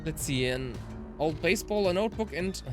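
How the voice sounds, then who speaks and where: A woman's voice: fairly low pitch, lively intonation, fairly quick, a little mellow. A woman doing game commentary, switching between dramatic reading and muttered asides. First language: German. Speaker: let's player. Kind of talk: game commentary with dramatic read-aloud of visual novel lines. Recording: music behind the voice. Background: game music.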